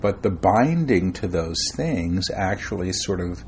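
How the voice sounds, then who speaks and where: A man's voice: deep voice, animated, unhurried, darkish tone, a little hiss or hum. A middle-aged man talking as an interview guest about his spiritual work, rambling with frequent fillers and restarts. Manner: self-described shy and reserved. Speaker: guest, spiritual channeler and author. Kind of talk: conversational interview.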